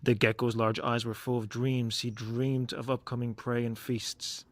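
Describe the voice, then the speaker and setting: Man in measured, reflective solo monologue, close and dry. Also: medium pitch, little pitch movement, no echo. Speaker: podcast host. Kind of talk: reflective solo podcast monologue with poem reading.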